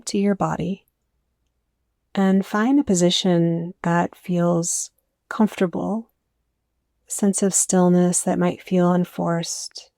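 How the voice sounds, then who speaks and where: A woman with a dark, relaxed voice that stays very even in pitch. She narrates a guided meditation in gentle, soothing invitations, recorded close and dry. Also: vocal fry, no room sound. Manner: soothing, unhurried phrasing with soft invitations.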